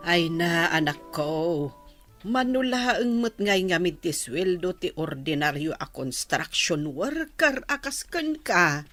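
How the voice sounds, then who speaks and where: An actress playing a caring, gentle elderly pensioner mother in a radio drama. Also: fairly low pitch, very animated, slow pace, soft background music, exaggerated voice. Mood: concerned, gentle. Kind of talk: radio drama acting in dialogue.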